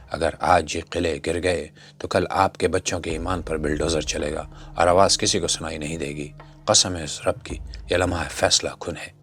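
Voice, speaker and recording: A man's voice: low-pitched, a bit bright. A man delivering scripted social commentary in a rhetorical, admonishing, exhortative manner. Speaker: narrator and commentator. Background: background music.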